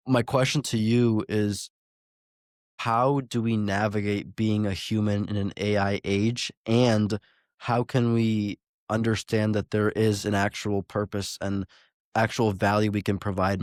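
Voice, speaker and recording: Casual male podcast host, low voice. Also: level tone, no room sound, studio quality. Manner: conversational, quick asides and playful examples. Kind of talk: interview questions, casual.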